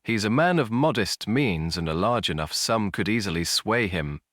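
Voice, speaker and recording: Man acting grim, deep-voiced police inspector. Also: lively intonation, normal speed, a bit bright. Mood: businesslike. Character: earnest male police inspector, grim and brisk.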